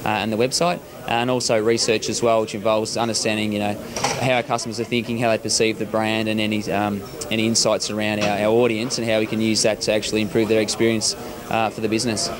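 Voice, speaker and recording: A man answering an interview as a marketing manager, with halting phrasing. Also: lowish voice, little pitch movement. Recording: busy crowd noise behind.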